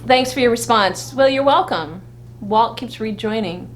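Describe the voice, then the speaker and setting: Higher-pitched casual woman over background noise. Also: a little slow. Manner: informal, blunt, swears freely. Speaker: fan vlog co-host. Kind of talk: casual live fan chat.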